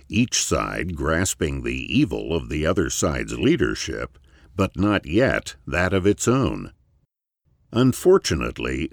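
A man reading a scripted essay as narration, in measured, deliberate phrases with emphatic stresses. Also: very deep voice, vocal fry, no echo, studio quality.

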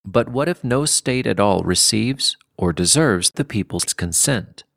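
A man reading an essay aloud as scripted narration, in a measured, deliberate way. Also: fairly low pitch, animated, vocal fry, a bit bright, dry recording, clean studio sound. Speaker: audiobook-style reader of a political essay.

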